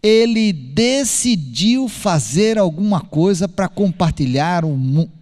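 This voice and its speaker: Lively preacher in a big hall. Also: male voice, sits high, slow pace. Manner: animated storyteller acting out dialogue. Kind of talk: sermon storytelling. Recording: long hall reverb.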